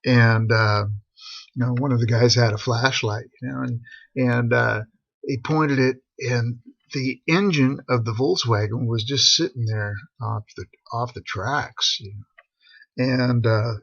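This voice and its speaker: A middle-aged man with a gravelly, husky voice telling a casual, rambling personal story in laid-back, slangy talk. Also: medium pitch, fairly even intonation, unhurried, fairly bright tone, dry recording. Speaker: storyteller on a live stream. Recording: dull, boxy lo-fi live stream audio.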